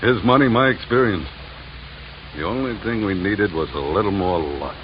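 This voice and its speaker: An actor playing a gruff, world-weary oil field operator in a 1950s radio drama, terse and halting. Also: male voice, on the low side, close to an everyday voice. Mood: cynical, resentful. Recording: muffled vintage radio, noisy background.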